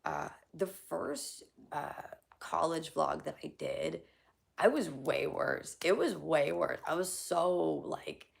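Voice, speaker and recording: A young woman streaming live and reading chat, her voice low and heavily gravelly with a hoarse, scratchy throat. She talks bluntly and loosely, full of fillers and casual swearing, often slipping into a near-whisper. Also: takes it slowly. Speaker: livestreamer answering chat.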